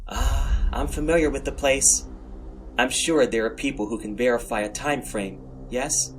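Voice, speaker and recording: A man as a gentle, higher-voiced female detective. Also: slight background noise, close to an everyday voice. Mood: probing. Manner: slow, careful, consoling, probing. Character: gentle, measured female police detective, adult.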